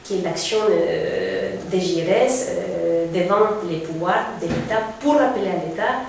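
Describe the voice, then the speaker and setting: A woman's voice: lowish voice, gravelly, darkish tone. Echoey, distant woman in her thirties from Mexico. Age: 30s. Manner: explanatory, measured, with fillers. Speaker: refugee aid coordinator. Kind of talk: presentation to camera. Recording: very echoey room, distant mic, faint noise.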